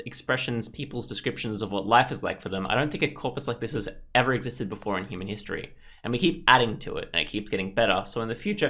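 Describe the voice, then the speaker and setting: A man's voice: medium pitch, fairly bright tone. A man playing through a story game, casually commenting and reading its text aloud, often thinking aloud. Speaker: gaming YouTuber.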